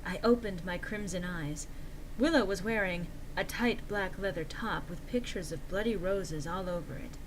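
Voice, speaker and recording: Theatrical woman reader over background noise. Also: mid-range voice. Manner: theatrical, exaggerated, mock-earnest. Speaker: narrator. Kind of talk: dramatic reading of fan fiction.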